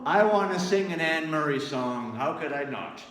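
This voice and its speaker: A man's voice: on the high side, lively intonation, normal speed, fairly bright tone. A man, a touring singer, chatting and joking casually with his audience between songs. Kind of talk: casual stage banter. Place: indoor live show venue. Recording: echoey hall, distant mic, music behind.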